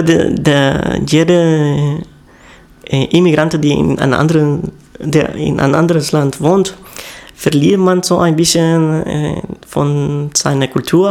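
Hesitant gravelly man from Honduras. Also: a little high, darkish tone. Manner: hesitant, many fillers, halting. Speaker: interviewee. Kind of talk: interview answer.

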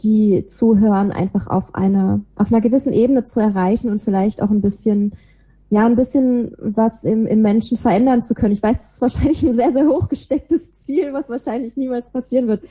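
A woman with a warm, mellow voice that is a little husky talks casually as an interview guest. She is chatty and self-deprecating as she tells about herself. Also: on the high side, fairly even intonation, fairly quick. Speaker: interview guest, podcaster and small creative agency owner. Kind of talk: casual chat, telling about own life and work.

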